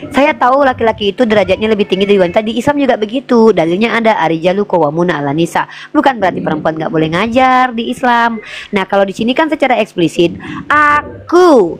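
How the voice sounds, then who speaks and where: A woman hosting a live online religious debate, pressing her points with drawn-out emphasis and reading scripture aloud. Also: medium pitch. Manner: insistent, drawn-out emphatic delivery, addresses others as younger siblings. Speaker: debate host.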